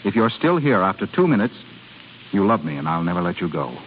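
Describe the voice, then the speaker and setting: An actor playing an ardent, possessive suitor in a 1930s radio drama, speaking in an impassioned, insistent, theatrical way. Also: male voice, low voice, big pitch swings, fairly quick. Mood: intense, possessive. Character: ardent, possessive male suitor pleading his love. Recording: tinny crackly 1930s radio broadcast, music behind.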